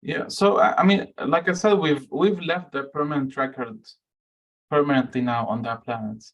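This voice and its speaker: Frank, halting man from Lebanon. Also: on the high side, fairly bright tone, lo-fi sound. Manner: frank, halting with many fillers and restarts. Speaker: guest speaker, microgrid researcher. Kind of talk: answering audience questions.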